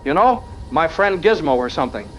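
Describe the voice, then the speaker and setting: A man's voice: on the high side, very animated, fairly quick. A man playing an earnest young university lab researcher, speaking conversationally in an interview-style audio drama. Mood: proud, excited. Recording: dull audio with background noise.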